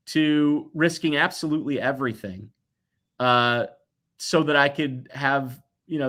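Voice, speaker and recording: A man's voice: mid-range voice, a bit bright. Guy in his 40s answering interview questions, mid-range voice, earnest and emphatic, kinda reflective. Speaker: guest, toy company executive.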